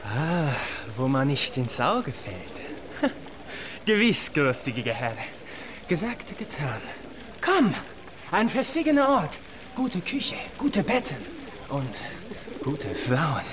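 An actor playing a pushy, obsequious Venetian guide and pimp, hesitant over foreign words, in a radio drama. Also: male voice, fairly high pitch, huge pitch swings, slow, noisy background, full character voice. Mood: fawning, coaxing. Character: fawning, pushy Venetian street guide and pimp touting to travellers.

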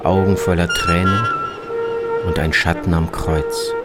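A man's voice: sits low, huge pitch swings, measured pace, over loud music. A man narrating a scripted, literary travel piece for radio as its reporter.

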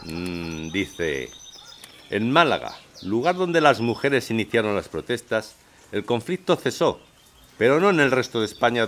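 A man playing a public newspaper reader in an audio drama, with a measured, declamatory delivery. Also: fairly low pitch, a bit bright, slight background noise. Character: man who reads the newspaper aloud to local women. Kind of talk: audio drama acting, reading aloud.

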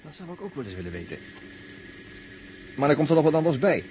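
An actor playing a brash, sarcastic, excitable crewman in a 1960s radio drama, talking in a colloquial, sardonic way. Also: on the high side, quick pace, lo-fi sound. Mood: sardonic, grumbling. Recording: slightly noisy early-1960s radio recording.

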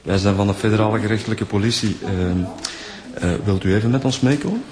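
An actor playing a patient, agreeable police detective with a Flemish accent, courteous and a little hesitant, in a radio crime drama. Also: male voice, fairly low pitch, a little fast, a crowd in the background, lightly acted. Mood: polite questioning. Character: polite, patient, soft-pedalling male police detective.

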